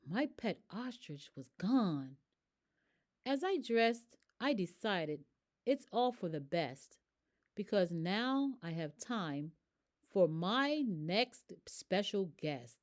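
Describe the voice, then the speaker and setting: A woman reads a children's picture book aloud in rhyme. Her delivery is slow and emphatic, with a medium pitch that rises and falls in very lively swings. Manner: expressive, emphatic. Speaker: storyteller. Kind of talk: read-aloud children's rhyming story.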